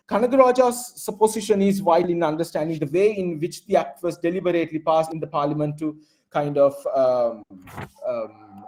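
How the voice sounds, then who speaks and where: Young Sri Lankan man, raised mellow voice, reads his paper phrase by phrase with many "uh"s. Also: a little high. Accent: Sri Lankan English. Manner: reads in measured phrases with frequent "uh" fillers. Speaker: conference presenter. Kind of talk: reading an academic paper.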